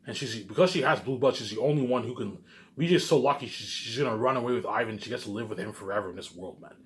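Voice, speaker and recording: A man's voice: medium pitch, quick pace, a bit bright. A man in a casual, rambling monologue, boastful and repetitive, emphatic and swearing freely as he leans on the same catchphrases. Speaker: online video creator.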